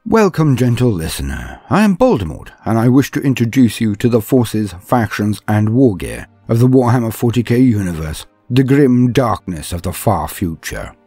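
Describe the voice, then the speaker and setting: A man's voice: mid-range voice, sing-song, moderate pace, few pauses, mellow, slightly rough, no echo, faint music behind. A man narrating scripted fiction and lore in a theatrical, grandiose storyteller manner.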